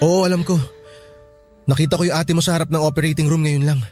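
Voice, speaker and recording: A man's voice: on the high side, steady tone, fast, darkish tone, faint music behind, lightly acted. A man with a Filipino accent playing a polite, easygoing young boyfriend, eager to please, in a radio drama. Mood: concerned. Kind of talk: Tagalog radio drama acting.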